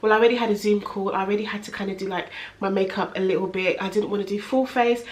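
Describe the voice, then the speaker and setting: A woman's voice: medium pitch, steady tone, quick pace, noisy background. A young woman, blocked up with a cold, chatting through a casual home vlog update. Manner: chatty, rambling, many fillers. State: congested with a head cold. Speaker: vlogger. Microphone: camera in front of her.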